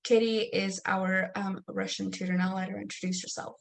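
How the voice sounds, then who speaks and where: A woman's voice: medium pitch, little pitch movement, normal speed, creaky. A young woman born in Mexico with Spanish as her first language, presenting a study-skills workshop as an academic coach in an organized, instructional way. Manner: organized, instructional, frequent um fillers.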